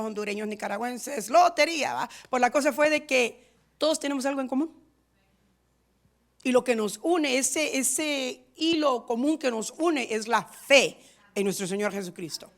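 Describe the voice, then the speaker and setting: A woman pastor preaching a sermon in a rhythmic, repetitive style, moving between loud stressed lines and quiet asides. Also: high voice, moderate pace, vocal fry. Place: church in San Diego.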